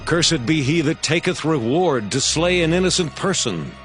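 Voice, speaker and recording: Man doing a stern proclaiming priest, expressive pitch, music behind. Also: moderate pace, close to an everyday voice. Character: Levite priest crying out curses to a crowd. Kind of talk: dramatized scripture reading. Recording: music bed beneath voice.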